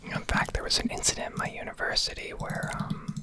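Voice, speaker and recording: A young adult woman speaking in a breathy, unvoiced ASMR whisper close to the microphone, rambling in an opinionated, sweary way with hesitant pauses. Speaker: ASMR gaming streamer. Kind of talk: whispered ASMR rambling rant over gameplay.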